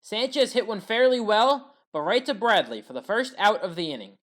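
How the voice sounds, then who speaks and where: A woman's voice at a middling pitch provides sports commentary over highlights. She reads a prepared play-by-play recap, telling each play in order as a narrator would. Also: animated, moderate pace.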